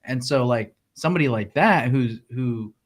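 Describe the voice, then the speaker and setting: A man hosting a casual podcast, relaxed and chatty, dropping "like" and "right?" often. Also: medium pitch, moderate pace. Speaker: podcast host.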